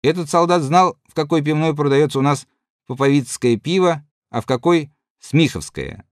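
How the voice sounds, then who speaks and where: A man's voice: fairly high pitch, normal speed, dry recording, studio quality, close to an everyday voice. A man reading the part of a good-natured, rambling middle-aged soldier telling his comrades a yarn. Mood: chatty. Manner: chatty, lively yarn-spinning to comrades. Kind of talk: audiobook reading.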